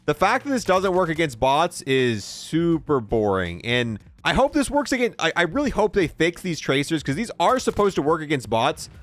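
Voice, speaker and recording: Animated young man, higher voice. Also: moderate pace, hardly any pauses, no echo. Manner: informal, slangy. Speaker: gaming video creator. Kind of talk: casual gameplay review commentary.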